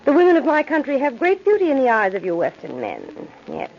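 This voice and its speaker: An actress playing an imperious, centuries-old undead Egyptian princess with a haughty, mocking, deliberate delivery in radio horror style. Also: female voice, sits high, wildly swooping pitch, creaky, heavily acted. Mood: smug, coy. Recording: dull, noisy old radio recording.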